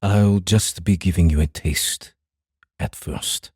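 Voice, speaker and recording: A man playing a calm, guarded and commanding samurai in a fantasy audiobook, speaking formally and deliberately. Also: low voice, slightly rough, dry recording, studio quality, exaggerated voice. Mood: grave, guarded. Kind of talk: dramatized fantasy audiobook acting.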